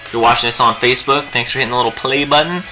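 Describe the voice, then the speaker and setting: A man's voice: medium pitch, steady tone, racing, sharp tone, soft background music. A young man hosting a live painting stream, chatting in a rambling, jokey way while he works. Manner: rambling and jokey, lots of fillers. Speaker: livestream host teaching digital painting.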